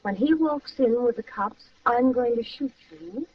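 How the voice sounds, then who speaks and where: A woman's voice: on the high side, expressive, a little slow, far from the mic, a little hiss or hum. A woman acting a cold, mocking femme fatale in an old radio crime drama. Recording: muffled old radio recording, off-mic.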